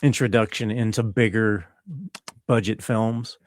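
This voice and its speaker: Slow-talking man with a low voice. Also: fairly even intonation, no room sound. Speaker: interview guest, composer and musician. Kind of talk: casual conversational interview talk.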